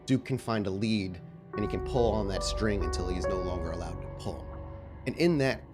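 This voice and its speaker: A man improvising narration as game master of a tabletop game, with a halting delivery full of repeated words. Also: sits low, over loud music. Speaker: game master of an actual play podcast.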